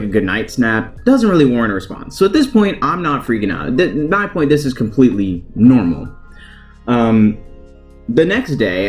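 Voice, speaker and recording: A young man talks in a casual storytime vlog style at a medium pitch. He is conversational and slangy, readily self-deprecating. Faint guitar music plays behind his voice. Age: young adult. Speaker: vlogger.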